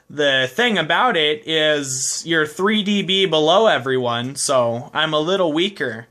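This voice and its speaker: A man with a fairly high, bright, forward voice talks casually as a ham radio operator. His delivery is conversational and informal, captured on a home video of the radio exchange.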